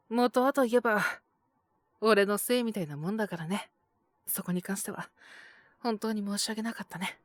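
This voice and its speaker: A woman voicing a calm, protective male owner who talks in a gentle, measured, softly teasing way in an audio drama. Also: mid-range voice, no room sound, natural voice. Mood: soft, apologetic. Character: calm, protective adult male bar owner mentoring a youth. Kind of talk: Japanese situational audio drama acting.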